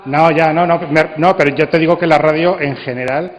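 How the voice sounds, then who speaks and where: Assertive higher-voiced man in an echoey hall. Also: fairly even intonation, brisk. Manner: assertive, argumentative, explains with rhetorical questions. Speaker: congress speaker answering questions. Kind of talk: spontaneous panel answer. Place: large indoor congress hall. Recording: echoey hall, long reverb, dull sound.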